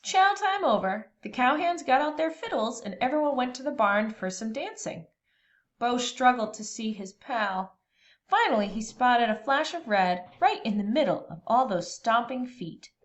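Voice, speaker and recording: A woman reading a children's storybook aloud expressively, with dramatic, deliberate pauses between phrases. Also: on the high side, lively intonation.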